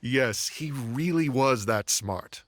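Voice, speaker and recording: A man playing a reclusive, grieving scholar in an anime game, subdued and halting. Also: medium pitch, expressive, a bit bright, dry recording. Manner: melancholy, halting, sighing. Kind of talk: English dubbed anime game acting.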